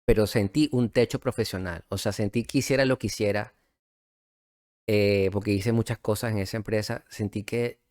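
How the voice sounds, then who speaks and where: A middle-aged man with a Venezuelan accent, telling his story as a guest in a casual interview, relaxed and reflective, with many fillers and restarts. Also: fairly low pitch, dry recording, studio quality.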